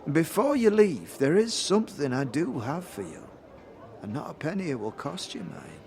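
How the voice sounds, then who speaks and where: A man's voice: fairly high pitch, sing-song, moderate pace, darkish tone, faint music behind. A man voicing a cryptic female fortune teller in a fantasy audio drama, portentous and archaic in manner. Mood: mysterious, earnest. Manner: mysterious, portentous, archaic phrasing. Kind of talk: audio drama character acting.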